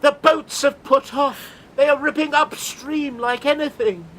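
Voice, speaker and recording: Young page boy lookout, radio play, extremely high pitch, piercing bright tone, shouting, excitable. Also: unhurried. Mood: jubilant.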